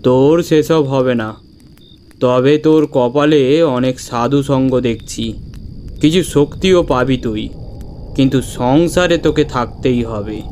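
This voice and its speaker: Man voicing a slow, solemn old holy man. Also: mid-range voice, darkish tone, slight background noise, natural voice. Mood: solemn, knowing.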